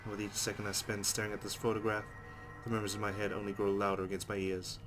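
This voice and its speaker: A man's voice: on the low side, fast talker, over music. A man reading visual novel narration aloud and adding casual reactions of his own. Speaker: let's play host reading game text aloud. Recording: steady loud background noise.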